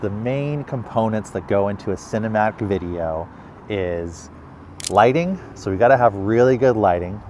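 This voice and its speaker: A man's voice: on the low side, animated, moderate pace, darkish tone, a little hiss or hum. A man speaking as a video creator, presenting in a vlog style.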